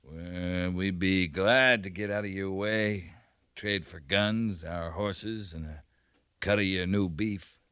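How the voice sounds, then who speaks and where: An actor playing a steady, laconic American cavalry captain with a measured, understated manner in a 1950s radio western. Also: male voice, deep voice, clear, resonant tone, no echo. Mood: calm, negotiating. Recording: vintage 1950s radio recording.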